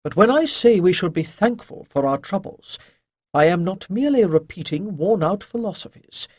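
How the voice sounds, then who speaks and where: A narrator voicing a wise old male priest in a fantasy storybook, calm and gently chiding, with measured, weighty delivery. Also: mid-range voice, dry recording, clean studio sound, lightly acted. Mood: gentle, earnest. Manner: measured, declamatory, pausing for weight.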